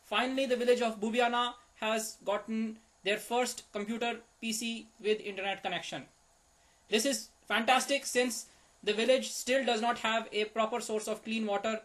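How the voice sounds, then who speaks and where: A man's voice: sits high, fairly even intonation, normal speed, a bit bright. A man doing Sardi, a pompous Indian village economist. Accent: Indian English. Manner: pompous, boastful, formal phrasing. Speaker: comic show host. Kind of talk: deadpan comic monologue.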